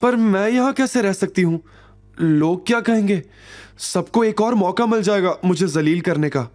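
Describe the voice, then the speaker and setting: A man voicing an innocent, excitable young woman with an expressive girlish delivery in an audio drama. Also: high-pitched, normal speed, voice pushed up, lightly acted. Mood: sad, worried.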